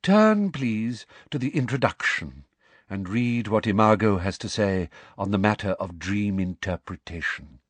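A man's voice: on the low side, animated, measured pace, no room sound. A man voicing a misty, dreamy, lofty woman teacher of divination in an audiobook, trailing off delicately. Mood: airy, reluctant. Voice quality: misty, breathy delivery.

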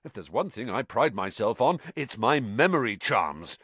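A vain, preening wizard professor, theatrical and condescending, his voice lifting higher than normal and swooping dramatically, as a man reading a storybook puts him on. The sound is close and dry. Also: sing-song, normal speed, fairly bright tone, no room sound. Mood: self-pitying.

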